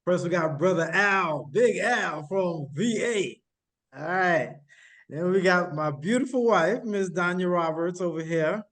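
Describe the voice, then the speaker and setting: A man's voice: high-pitched, lively intonation, measured pace, a bit bright. A man hosting a counseling podcast, asking loose, rambling questions full of fillers. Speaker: podcast host. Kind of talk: casual interview hosting.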